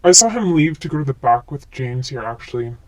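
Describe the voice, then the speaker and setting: A man's voice: medium pitch, lively intonation, brisk, a little mellow, close to an everyday voice, low-quality recording. A young man playing a forthcoming bartender in a radio drama. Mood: matter-of-fact. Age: young adult.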